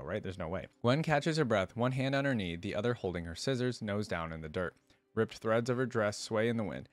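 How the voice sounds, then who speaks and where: Low-voiced man reading and reacting. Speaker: reaction video host. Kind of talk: reading a story aloud with casual commentary.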